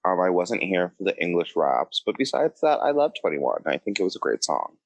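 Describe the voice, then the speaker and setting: A man's voice: sits low, sing-song, fairly quick, vocal fry, no echo. A man co-hosting a podcast, chatting casually with blunt, shady humour. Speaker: podcast co-host. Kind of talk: casual opinionated podcast chat.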